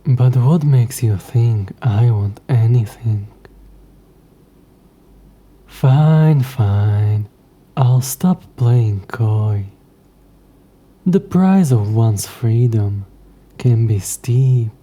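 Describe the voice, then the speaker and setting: Guy doing an old smug demon for an audio roleplay, lowish voice thats super mellow and breathy, coy and taunting, old-timey wording. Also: expressive, heavily acted. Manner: slow, taunting, haughty old-fashioned phrasing. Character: centuries-old possessive male demon trapped in a necklace, coy, smug. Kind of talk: scripted audio roleplay addressed to the listener.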